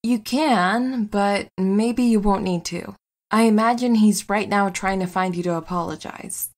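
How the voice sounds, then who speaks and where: Woman acting a sensible, mid-pitched superheroine. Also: measured pace, darkish tone, natural voice. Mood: concerned. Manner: practical, conversational. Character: sensible, caring superheroine wife and loyal friend. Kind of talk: superhero comedy audio drama acting.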